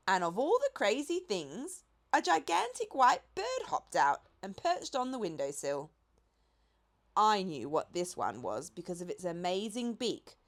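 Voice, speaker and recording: A woman narrating a children's audiobook in an expressive storytelling style. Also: medium pitch. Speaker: audiobook reader.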